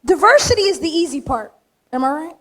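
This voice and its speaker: A woman from the United States with a slightly raspy voice, giving an emphatic, straight-talking acceptance speech in front of a room of people. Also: sits high, expressive, brisk, fairly bright tone. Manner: straight-talking, emphatic, punchy short phrases. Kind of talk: acceptance speech to a live audience. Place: large event room with audience.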